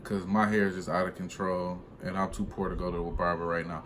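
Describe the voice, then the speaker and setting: A man's voice: sits low, a little fast. Casual slangy man telling stories, noisy recording. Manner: slangy, conversational, animated retelling. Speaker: truck driver and vlogger. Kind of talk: casual vlog storytelling. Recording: clearly noisy room ambience.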